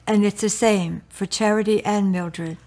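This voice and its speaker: An actress playing a gentle, reassuring middle-aged mother in a radio drama, soothing and affirming in manner. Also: medium pitch, steady tone, measured pace, natural voice. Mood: warm, assured.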